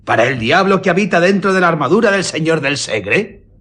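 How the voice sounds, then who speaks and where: A man's voice: on the high side, lively intonation, fairly quick, a bit bright. An actor playing an indignant, scornful man in a radio drama. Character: indignant, scornful male bystander. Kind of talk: Spanish radio drama acting.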